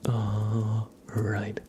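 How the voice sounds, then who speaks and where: A man's voice: on the low side, background noise. A young man whispering casual, rambling chat as the host of an ASMR livestream, often hesitating.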